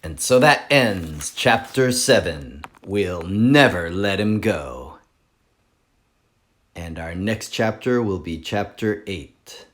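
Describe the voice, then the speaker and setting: A man reading a children's chapter book aloud for a school library, slow and expressive. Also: deep voice. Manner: slow, expressive, sing-song storytelling.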